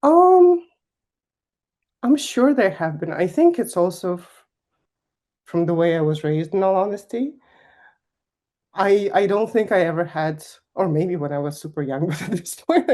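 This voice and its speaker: A woman's voice: deep voice, warm tone. A young woman from Kazakhstan answering interview questions in a candid, emphatic way, with frequent laughs. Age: young adult. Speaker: guest finance executive.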